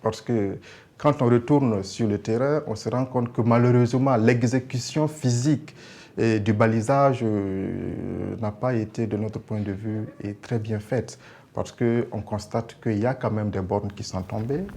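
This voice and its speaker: A man's voice: fairly low pitch, steady tone, measured pace, gravelly, warm tone. A man with a Burkinabe accent explaining things in an interview as a project representative, often searching for words.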